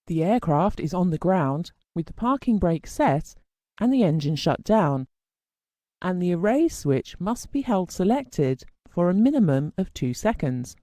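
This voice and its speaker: A formal instructional narrator delivers a scripted technical lesson in a measured, explanatory manner. The voice is soft-edged and mellow, with animated rises and falls in pitch. The sound is close and dry. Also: dry recording.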